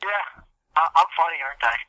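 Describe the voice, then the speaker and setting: Sarcastic guy on a gaming podcast, really bright forceful high voice, teasing, dry sound. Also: animated, a little fast, dry recording. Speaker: podcast co-host. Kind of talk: casual gaming chat.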